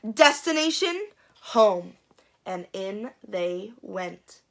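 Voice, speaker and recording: A woman reading a picture book aloud for children in an expressive, dramatic and playful way, building suspense. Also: medium pitch, extremely slow, gravelly. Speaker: children's storyteller.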